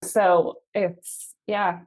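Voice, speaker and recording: Woman, low-ish voice, relaxed anecdotal guest, trails off at times. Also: drawn-out words, low-quality recording. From Ireland. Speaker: podcast guest. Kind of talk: casual storytelling conversation.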